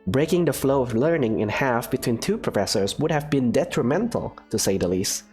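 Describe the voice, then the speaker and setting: A woman's voice: a bass voice, lively intonation, a little fast, darkish tone, soft background music. A woman voicing a gracious female professor who speaks in an encouraging, lilting way. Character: warm, genteel female professor, expecting mother.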